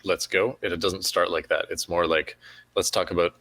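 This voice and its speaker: A man with a very deep voice speaks in an easygoing, loose way, often restarting his sentences mid-thought. The sound is dry and close, as on a video call. He is a game developer chatting with fans. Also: fairly even intonation, normal speed, pushed and bright, dry recording. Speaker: game developer answering questions. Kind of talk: casual livestream Q&A chat.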